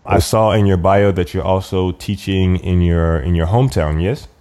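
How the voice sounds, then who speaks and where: Mellow, low-voiced man interviewing. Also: moderate pace. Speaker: podcast host, interviewer. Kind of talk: conversational interviewing.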